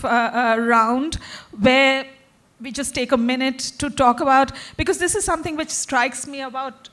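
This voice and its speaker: A woman moderating a literary festival panel, with a voice that sits slightly high. She shapes her questions slowly and hesitantly, circling through fillers as she searches for the right words. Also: a bit bright. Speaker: panel facilitator.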